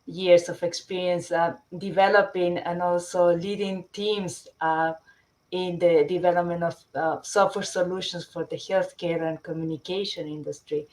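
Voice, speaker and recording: A middle-aged woman from Chile, a company founder presenting her product in a webinar, often pausing with fillers and restarting sentences. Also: on the low side, rough recording. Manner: hesitant, many fillers and restarts.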